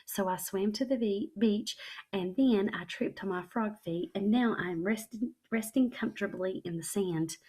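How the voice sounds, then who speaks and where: A woman voicing an excitable, dramatic little girl who shrieks and chatters breathlessly. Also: medium pitch, normal speed, husky, pitched above the performer's own voice, lightly acted. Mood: nervous. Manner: shrieking, bubbly, breathless exclamations.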